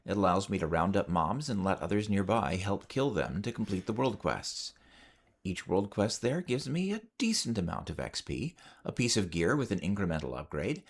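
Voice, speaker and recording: Guy reading out his weekly report, scripted n tidy, deepish, very up and down. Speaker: listener sending in a weekly report.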